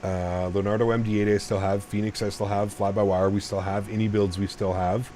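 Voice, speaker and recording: A man with a deep voice streaming a flight sim, talking straight to his chat and explaining himself at length with repeated restarts. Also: flat delivery, brisk, mellow, a little hiss or hum. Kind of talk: casual live-stream chat.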